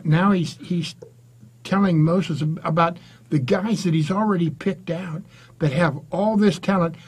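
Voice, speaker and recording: Senior male Bible storyteller, 60s plus. Husky, fairly high register, earnest and emphatic, dropping softer for asides. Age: 60s or older. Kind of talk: informal Bible lesson with scripture reading and prayer. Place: small indoor room with curtain and bookshelf.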